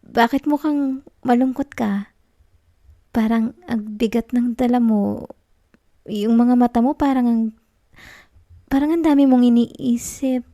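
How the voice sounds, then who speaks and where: A young woman with a Filipino accent playing a clingy, tender, anxious student girlfriend in an intimate ASMR roleplay, speaking in a soft, coaxing, halting way. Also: on the high side, no room sound, clean studio sound. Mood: worried.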